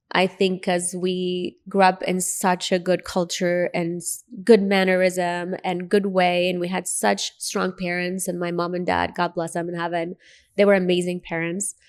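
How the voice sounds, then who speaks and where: A woman from Lebanon with an American accent and Arabic as her first language, telling her personal story as an interview guest in an earnest, emphatic way. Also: lowish voice.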